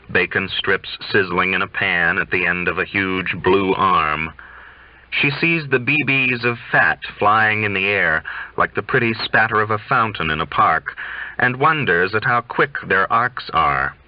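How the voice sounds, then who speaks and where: A man reading literary fiction aloud as an audiobook narrator, in a measured, deliberate way. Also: on the low side, dry recording. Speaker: audiobook reader. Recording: dull, dry, lo-fi audio.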